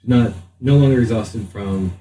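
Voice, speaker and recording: A man's voice: medium pitch, measured pace, mellow. A man narrating a tabletop game as game master in a casual, joking and sweary way. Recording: roomy, distant mic, faint background noise.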